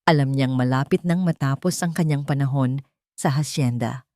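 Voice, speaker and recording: A woman narrating a scripted dramatic story with measured, suspenseful phrasing. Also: a bass voice, warm tone, no echo, clean studio sound.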